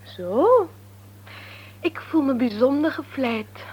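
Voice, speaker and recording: A haughty young woman in a radio drama. Her voice is on the high side and swings with lively intonation. She is mock-formal and tart, with an amused, proper edge. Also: slow pace, husky, highs cut off, heavily acted. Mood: haughty, teasing. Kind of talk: Dutch radio play acting.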